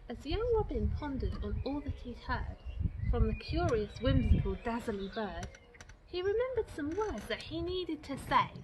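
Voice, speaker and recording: Higher-voiced woman reading a storybook, noisy recording. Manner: dramatic, deliberate storytelling delivery. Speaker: storytime reader. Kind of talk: expressive children's storybook reading in rhyme.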